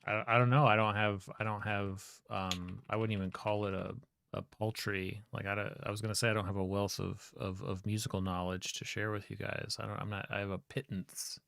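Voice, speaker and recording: Male streamer host, low, fried edge, dry room, hesitant casual talker. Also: level tone, gravelly, fairly bright tone, clear, resonant tone, no room sound. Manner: halting, self-deprecating, many restarts. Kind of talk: casual chat.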